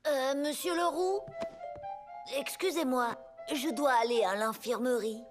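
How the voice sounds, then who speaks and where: A cheeky, scheming cartoon boy of eleven. The voice is high and very lively in pitch, with music behind it. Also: a little slow. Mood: feigning innocence. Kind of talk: French animated series dubbing.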